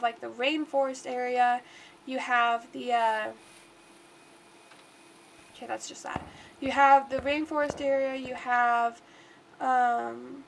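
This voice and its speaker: Congested high-voiced woman streamer. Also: gravelly, slight background noise. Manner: chatty and rambling, talks to the game aloud. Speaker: livestreamer. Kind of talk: casual gameplay commentary.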